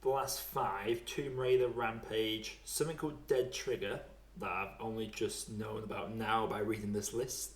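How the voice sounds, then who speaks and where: A man's voice: lowish voice, fairly even intonation, a little slow, far from the mic. A man hosting a podcast in casual chat, talking in a wry, rambling, conversational way. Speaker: podcast host. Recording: roomy, echoey home recording.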